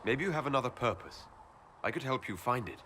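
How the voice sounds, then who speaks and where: A bright-voiced, earnest fantasy hero. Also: male voice, mid-range voice, lively intonation, a little fast, a little hiss or hum. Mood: sympathetic. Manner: earnest, direct. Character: earnest, idealistic male hero of fantasy game. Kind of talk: video game voice acting.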